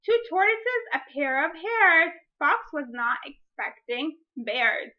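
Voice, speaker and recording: A woman demonstrating a read-aloud lesson as a teacher, speaking deliberately and posing drawn-out, wondering questions as if to children. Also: high-pitched, sing-song, muffled recording.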